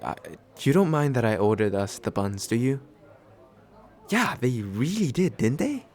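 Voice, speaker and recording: Slow, hesitant man acting a nervous, kind date. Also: medium pitch, lightly acted. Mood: awkward, warm. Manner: hesitant, soft-hearted, self-deprecating, halting with small pauses. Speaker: ASMR roleplay voice actor. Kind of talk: ASMR comfort roleplay, acted as casual chat.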